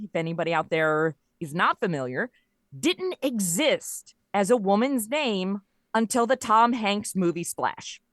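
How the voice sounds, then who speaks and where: A woman speaking as an interview guest in casual chat, telling stories in an animated way and acting out quoted lines. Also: medium pitch, no room sound, clean studio sound. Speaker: guest, writer of a comedy audio show.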